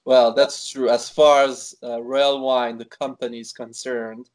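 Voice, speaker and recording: Swiss-born man, guest in a casual interview, talky, halting with fillers. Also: mid-range voice, level tone. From Switzerland. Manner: chatty, many fillers and restarts. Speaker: wine expert guest. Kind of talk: conversational interview.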